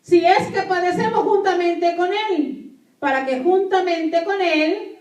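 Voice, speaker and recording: A woman pastor delivers an emphatic sermon in a very high voice. She repeats key phrases and questions her listeners as a native Spanish speaker. The sound is echoey, picked up some distance from the microphone. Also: slow pace, a little mellow. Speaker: pastor preaching to a congregation. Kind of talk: sermon with scripture reading.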